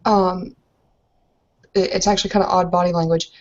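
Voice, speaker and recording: A woman's voice: lowish voice, little pitch movement, normal speed, darkish tone, dull audio. A woman in a tabletop roleplaying game, casually speaking as her character and narrating its actions.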